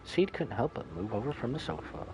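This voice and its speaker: A man's voice: mid-range voice, expressive, brisk, noisy background. A man giving casual playthrough commentary as a game streamer, reading on-screen text aloud as he goes.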